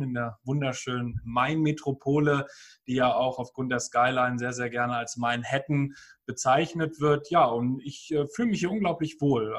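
A man's voice: mid-range voice, fairly bright tone. Thirtysomething guy from germany, friendly podcast guest, lots of ums. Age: 30s. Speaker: guest speaker. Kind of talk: podcast interview.

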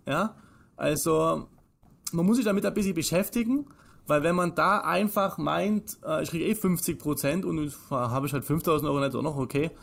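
Austrian man, early thirties, higher-set voice, emphatic explainer who poses questions and answers them himself. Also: normal speed, vocal fry, fairly bright tone. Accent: Austrian German. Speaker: financial advisor, interview guest. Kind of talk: explanatory conversation.

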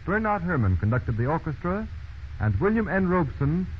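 A man's voice: on the high side, big pitch swings, moderate pace. Male 1930s radio announcer, formal scripted read with sweeping intonation, on a dull, hissy vintage recording. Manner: formal, declamatory. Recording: muffled, noisy old radio broadcast.

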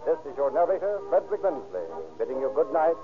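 A man's voice: on the high side, big pitch swings, fast talker, over music. A man narrating a 1930s radio sign-off on a tinny old recording. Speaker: narrator signing off.

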